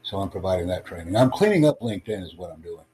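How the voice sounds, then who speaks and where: A man hosting a livestream podcast, addressing callers in a deliberate, instructive way. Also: deep voice, animated. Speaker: podcast host. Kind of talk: livestream chat with online callers. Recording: clearly noisy, humming background.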